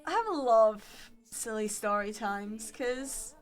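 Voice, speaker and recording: Young Polish-native woman streamer, slow laughing anecdote, faint music behind. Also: fairly high pitch, expressive. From Poland. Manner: giggly, self-mocking, chatty. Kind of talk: casual storytelling to chat.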